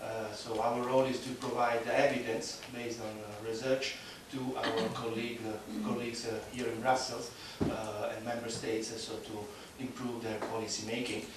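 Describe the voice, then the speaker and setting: A man giving a conference presentation, speaking haltingly with many fillers and restarts. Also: medium pitch, flat delivery, background noise. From Italy. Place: large conference hall. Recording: echoey hall, speaker far off.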